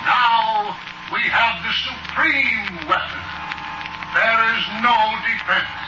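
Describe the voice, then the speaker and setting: An actor playing an old man who speaks slowly and portentously in a 1940s radio drama. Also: extremely high pitch, muffled recording, heavy background noise. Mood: ominous. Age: older. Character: old man proclaiming a supreme weapon and planetary mastery. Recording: echoey, distant 1940s radio, loud music.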